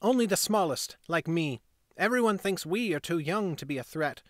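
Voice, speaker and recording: A man voicing a cocky young street pickpocket boy who boasts loudly. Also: expressive, normal speed, dry recording, clean studio sound. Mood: boastful. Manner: boastful, high childish bluster.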